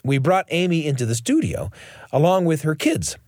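A man's voice: on the high side, sing-song, normal speed, darkish tone, no room sound, clean studio sound. A man hosting and narrating a scripted radio feature. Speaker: radio show host and narrator. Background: music.

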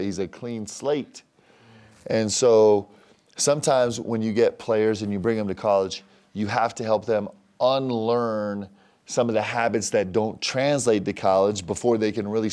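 Male coach, lowish voice, enthusiastic and articulate. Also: darkish tone. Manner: enthusiastic, articulate, speaks fast when praising. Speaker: basketball coach. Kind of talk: casual interview chat.

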